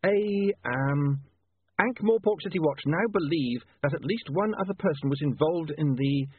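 A man's voice: fairly high pitch, lively intonation, normal speed, slightly rough, dry recording, voice pushed up. A man voicing an earnest, frantic, educated young newspaperman with an urgent, insistent manner in an audiobook. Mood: working it out. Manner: urgent, insistent, hesitant at times. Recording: muffled narrow-band, phone-like radio sound.

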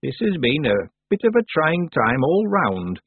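Ancient witch, played by a man, raised pitch, husky, brisk, imperious, storybook character. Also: no room sound, phone-quality sound, full character voice. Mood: brisk, matter-of-fact. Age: over 100. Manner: brisk, stern, calm. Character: ancient imperious witch, woman, drily matter-of-fact.

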